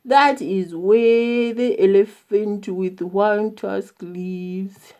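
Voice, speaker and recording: A woman's voice: medium pitch, extremely slow, a little mellow, smooth, pitched below the performer's own voice. A woman voicing a huge, lazy, bullying male elephant in a children's story, with a drawling, threatening manner. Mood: sleepy, dismissive.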